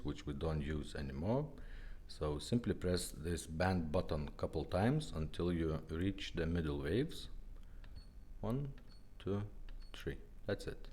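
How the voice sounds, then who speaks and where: A man's voice: low-pitched, mellow. A man casually demonstrating a product, giving simple step-by-step instructions in short phrases. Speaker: vintage car radio restorer presenting a demo.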